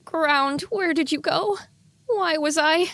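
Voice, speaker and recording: A woman's voice: high voice, slow, a bit bright, no room sound, voice pushed up, heavily acted. A woman voicing the inner thoughts of an anxious, earnest young female pony in an audio drama. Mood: bewildered. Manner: earnest, worried self-talk.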